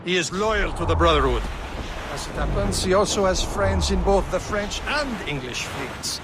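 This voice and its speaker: A haughty assassin for a historical video game, with a fairly high male voice. He speaks with condescending emphasis and a sneer, over clearly audible outdoor ambience. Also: lively intonation, moderate pace, very noisy. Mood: scornful. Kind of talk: video game cutscene acting.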